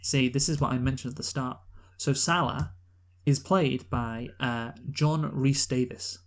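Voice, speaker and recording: Man, podcast co-host, casual unscripted chat, opinionated, emphatic repetition, mid-pitched voice. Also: steady tone, measured pace. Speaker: podcast co-host reviewing films. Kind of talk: casual unscripted film discussion.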